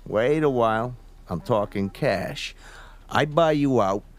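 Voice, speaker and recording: An American man playing a falsely genial, menacing gangster boss in a radio drama, with a slangy, smooth-talking tough-guy delivery. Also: medium pitch, animated, takes it slowly. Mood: coaxing.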